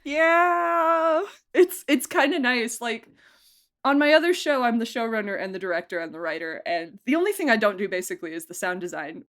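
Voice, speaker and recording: A woman being interviewed as an audio drama director, talking casually and enthusiastically like an animated storyteller. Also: a little high, moderate pace. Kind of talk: casual behind-the-scenes chat.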